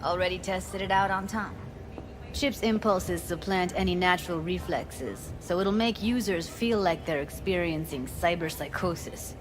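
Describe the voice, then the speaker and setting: An actress playing a guarded, determined young tech tinkerer in a video game cutscene, with clipped, matter-of-fact delivery. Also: female voice, lowish voice, normal speed, music in the background, close to an everyday voice. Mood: explaining, earnest.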